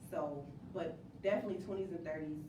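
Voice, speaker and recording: A woman's voice: low voice, quick pace, over a noisy crowd. A woman talking casually and reflectively as a cast member, earnest and emphatic, repeating words for stress. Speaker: new stage play cast member. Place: indoor room with a group. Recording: echoey room, distant mic, noisy ambience.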